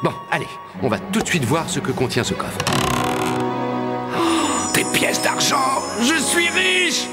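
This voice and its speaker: An actor playing a hungry young soldier, wary but good-natured, in an animated fairy tale, with expressive exclamations. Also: male voice, very high-pitched, slow, loud music behind the voice, heavily acted. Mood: amazed, excited.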